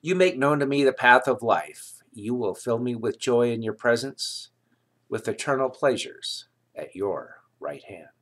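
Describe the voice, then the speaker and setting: A man reading scripture aloud in a solemn, deliberate manner, with measured phrases and pauses. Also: medium pitch, expressive, a bit bright. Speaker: scripture reader.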